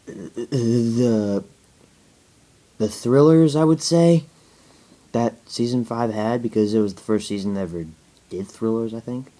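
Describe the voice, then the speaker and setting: A young man answering viewer questions in a casual home video, talking haltingly with a stutter, many fillers and restarts. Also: medium pitch, warm tone. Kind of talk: casual Q&A talk to camera.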